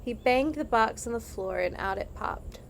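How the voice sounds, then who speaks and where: A woman reading a children's story aloud in an animated, expressive way, as in a read-along for kids. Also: fairly high pitch, moderate pace, vocal fry, slight background noise. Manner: animated, acts out dialogue.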